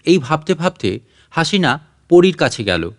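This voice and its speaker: A man's voice: a little high, big pitch swings, unhurried. A man reading a literary short story as scripted audiobook narration.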